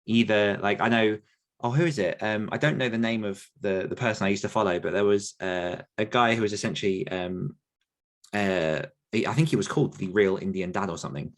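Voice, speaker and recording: A man's voice: lowish voice, brisk. A man, answering listener questions on a podcast in a rambling, self-correcting way. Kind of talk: casual Q&A chat.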